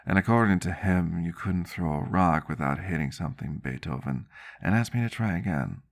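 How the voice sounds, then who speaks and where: Man playing a low, gravelly vampire doctor. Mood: wistful. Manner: measured, reflective, old-fashioned phrasing. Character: male vampire doctor, ageless but youthful-seeming, reflective and gentle. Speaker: roleplay character telling a story. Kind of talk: ASMR roleplay storytelling.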